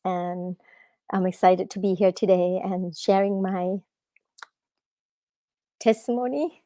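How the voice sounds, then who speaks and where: A woman's voice: medium pitch, fairly even intonation, mellow, dry recording, clean studio sound. A woman, an author giving a live talk at a book festival, hesitant with many fillers. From Thailand. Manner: hesitant, many fillers, halting non-native English.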